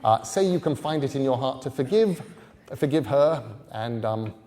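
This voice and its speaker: A man voicing an amiable, well-spoken English gentleman in an audiobook, politely rambling and hesitant. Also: mid-range voice, lively intonation, normal speed, lightly acted. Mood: flustered, apologetic. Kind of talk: audiobook character dialogue.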